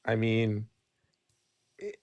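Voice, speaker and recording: A man's voice: lowish voice, completely flat delivery, very slow, a little mellow, smooth, no echo, highs cut off. A Nigerian-born man, talking bluntly in a casual podcast debate and tagging his points with "right?". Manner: candid, blunt, frequent restarts and "right?" tags.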